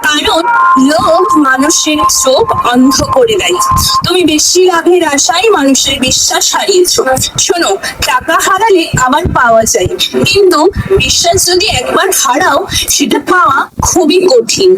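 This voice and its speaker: Woman acting a high-voiced sensible cartoon wife. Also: animated, normal speed, over music, poor audio. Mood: earnest, admonishing. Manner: moralising, advising. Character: sensible village wife gently scolding her husband. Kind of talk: cartoon story dialogue.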